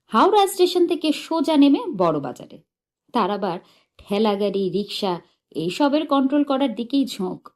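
A woman narrating a humorous short story in an expressive, measured and dramatic storytelling manner. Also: a little high. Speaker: audiobook narrator.

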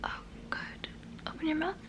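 A woman in her twenties doing a whispered ASMR roleplay, breathy and very close to the mic, softly repeating words. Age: 20s. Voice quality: breathy, mostly unvoiced whisper. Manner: soft, halting, repeats words slowly. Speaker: ASMR creator. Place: small bedroom, indoors. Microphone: very close to the microphone.

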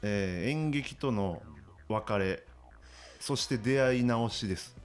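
A man's voice: on the low side, takes it slowly. A man speaking as an interview guest, explaining things casually in a hesitant, feeling-his-way manner. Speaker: guest, theatre playwright and director. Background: background music.

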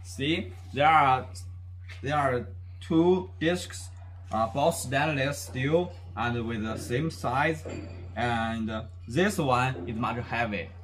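A man's voice: medium pitch, takes it slowly, a bit bright, faint music behind. A young man who is not an English speaker, guiding a tour of a factory warehouse in English, hesitant and full of "uh" fillers and restarts. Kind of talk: walking factory tour presentation. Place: large indoor factory warehouse.

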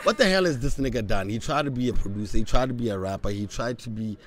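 Young male guest, mid-pitched, blunt and fired-up, outdoor podcast on a boom mic. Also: fast. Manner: blunt, heated, mixes in Setswana. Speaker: podcast guest, former radio presenter. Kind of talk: casual interview talk. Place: outdoor table on a campus courtyard. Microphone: studio mic on boom arm.

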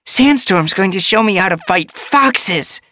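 A woman voicing a small, eager young female apprentice cat who talks in an excitable, whiny way. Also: medium pitch, a little slow, dry recording, clean studio sound.

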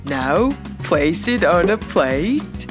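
A woman voicing a kind, cheerful elephant in a children's puppet show, giving simple, gentle instruction. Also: on the low side, sing-song, very slow, exaggerated voice. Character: kind, cheerful elephant who loves cooking. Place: studio voice-over. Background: children's music.

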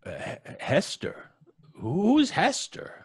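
A husky old judge for a vintage radio thriller, kindly and easily flustered. He takes his time, stammering along while his pitch leaps up and down. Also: wildly swooping pitch, slow, dry recording, studio quality, full character voice. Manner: stammering, hesitant. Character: doddering, nervous, kindly old male judge. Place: home studio on a video call. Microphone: close studio microphone.

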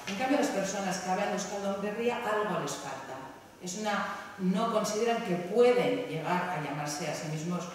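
A woman's voice: on the low side, a little hiss or hum. A woman with a Basque Country Spanish accent presenting research at a panel, hesitant with many fillers. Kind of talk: academic conference talk. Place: large university lecture hall. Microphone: table mic, far camera. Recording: echoey hall from far off.

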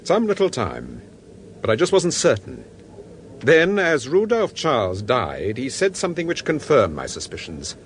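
Shrewd gentleman detective, animated delivery. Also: medium pitch, slight background noise. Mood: composed. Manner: measured, pointed, assured. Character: shrewd, composed gentleman detective, confidently accusing. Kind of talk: radio crime drama acting.

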